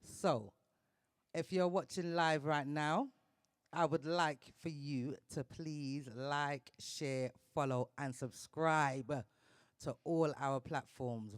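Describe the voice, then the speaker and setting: A man hosting a casual live talk show, upbeat and slangy, giving shout-outs to listeners and DJs. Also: fairly high pitch, no echo, studio quality. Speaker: podcast host.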